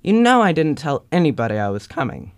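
A teenage boy playing an awkward, grumpy young suitor in a radio play, with a clear voice. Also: on the high side, big pitch swings, fairly quick, dry recording, clean studio sound. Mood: awkward, defensive.

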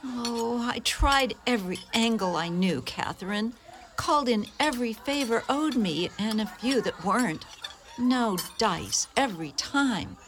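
A woman playing an eager, apologetic nun in a community radio play, earnest and expressive. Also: on the high side, measured pace, a little hiss or hum.

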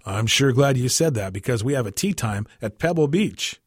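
A man reading storybook dialogue as a jovial 65-year-old businessman, with a husky voice and folksy, emphatic phrasing. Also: medium pitch, expressive, moderate pace, dry recording, studio quality. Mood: animated, joking. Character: jovial 65-year-old businessman twin, game but joking.

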